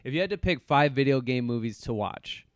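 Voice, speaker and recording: A man's voice: mid-range voice, expressive, a little fast, smooth, no echo, clean studio sound. A man hosting a podcast in casual, sweary conversation about movies. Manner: swears freely. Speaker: podcast host.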